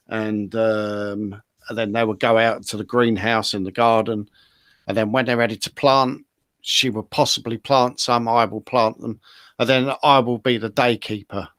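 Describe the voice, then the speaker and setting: A man's voice: medium pitch, fairly even intonation, moderate pace, fairly bright tone, dry recording. Man chatting, dry close sound. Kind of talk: casual rambling chat.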